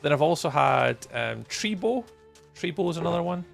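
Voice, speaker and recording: Gravelly-voiced Scottish man chatting. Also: a little high, animated, moderate pace, faint music behind. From Scotland. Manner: rambling and good-humoured, with frequent ums and chuckles. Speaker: gaming livestreamer. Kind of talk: casual chat with live viewers while gaming.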